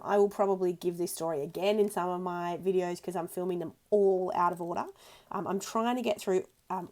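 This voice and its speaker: A woman in her thirties with a croaky, congested voice, chatting casually in a voice-over while she scrapbooks, rambling with lots of ums. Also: on the low side, darkish tone. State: croaky from a cold. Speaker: scrapbooking video host.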